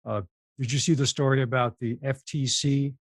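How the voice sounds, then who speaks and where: A male panelist talks casually, explaining and sharing anecdotes. His voice sits at a medium pitch, and the recording is dry with barely any room sound. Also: fairly even intonation, normal speed, no echo. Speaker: talk show panelist.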